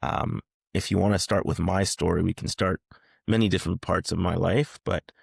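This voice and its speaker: A man's voice: low voice, steady tone, normal speed, vocal fry, husky, dry recording, studio quality. A man in his thirties from Canada, speaking as a guest in a casual interview, hesitant with many fillers and restarts. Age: 30s.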